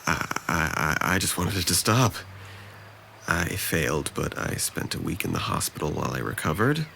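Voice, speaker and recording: A man's voice: extremely low pitch, huge pitch swings, normal speed, creaky, bright, forceful tone, slight background noise, heavily acted. A man acting a depressed, guarded young man in an audio drama, speaking with dry, self-deprecating hesitance. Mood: downcast, confessing.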